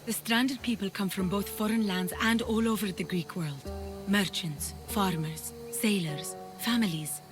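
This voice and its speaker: An actress playing a compassionate, determined young woman fighter in a video game, earnest and purposeful over soft dramatic music. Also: medium pitch, measured pace, natural voice. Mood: earnest concern.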